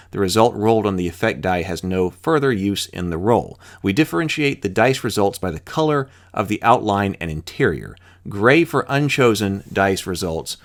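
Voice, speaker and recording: A man hosting a tabletop roleplaying lesson, reading rules aloud step by step with informal thinking-aloud asides. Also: fairly low pitch.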